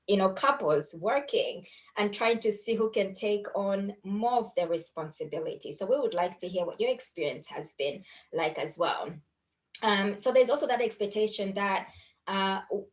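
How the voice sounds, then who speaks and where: A woman hosting a casual discussion, hesitant with many fillers, posing questions to her guest. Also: medium pitch. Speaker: host.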